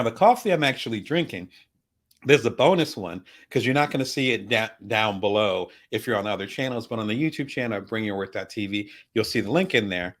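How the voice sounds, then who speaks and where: A middle-aged man hosting a casual vlog, chatty and animated, full of asides and shout-outs to his viewers. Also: medium pitch. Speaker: vlog host. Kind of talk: casual talk to camera about products.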